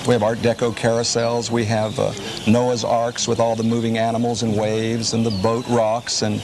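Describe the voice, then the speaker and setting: A man's voice: mid-range voice, completely flat delivery, moderate pace. A man giving casual interview answers as a store owner, recorded on location in his shop. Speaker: store proprietor, interview guest. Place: inside his store in a shopping center. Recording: noisy on-location 1980s TV sound.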